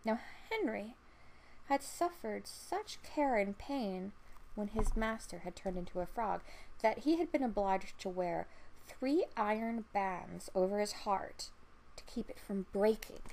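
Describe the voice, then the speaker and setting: A woman's voice: medium pitch, mellow, poor audio. A woman storyteller reading a fairy tale aloud as narration.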